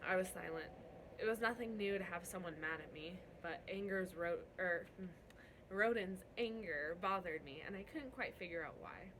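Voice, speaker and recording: A woman reading a novel aloud as a first-person narrator, in an expressive storytelling style. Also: lowish voice, moderate pace, noisy background. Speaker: book reader.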